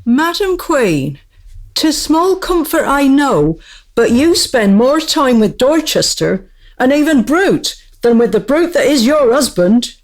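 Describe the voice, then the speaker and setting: A woman's voice: high voice, sing-song, slow, full character voice. An actress playing a queen's devoted, consoling attendant in a comic radio play, with archaic, melodramatic delivery. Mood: consoling, earnest.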